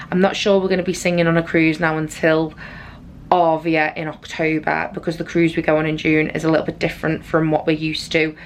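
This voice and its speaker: A woman with a naturally raspy voice, hoarse from losing her voice, chatting through a rambling vlog recap in her cruise cabin on a handheld camera. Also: low-pitched, slight background noise. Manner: chatty and rambling, with frequent fillers. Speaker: travel vlogger. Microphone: handheld compact camera.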